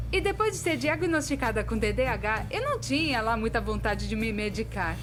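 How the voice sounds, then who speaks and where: A Brazilian woman voicing a chatty, self-deprecating female animator in an expressive, conversational scripted narration. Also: a little high. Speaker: voice actor in a fan dub. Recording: music behind the voice. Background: music.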